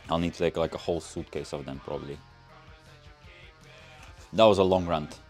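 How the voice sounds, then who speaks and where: A man's voice: low voice, steady tone, moderate pace, soft background music. A young man from Latvia, not a native English speaker, casually answering chat questions on a livestream and rambling with lots of fillers. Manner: loose and rambling, many fillers and restarts. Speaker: car channel host.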